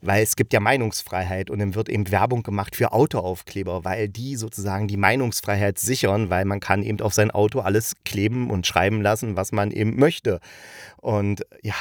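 A man's voice: lowish voice, fairly even intonation, brisk, dry recording, studio quality. A man hosting a podcast, giving a casual, unscripted review in a rambling, colloquial and enthusiastic way, jumping between asides. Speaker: podcast host reviewing audio dramas.

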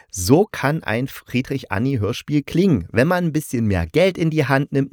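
A man's voice: medium pitch, no room sound, clean studio sound. A man giving an informal, opinionated solo review as a podcast host, speaking conversationally and directly to his listeners.

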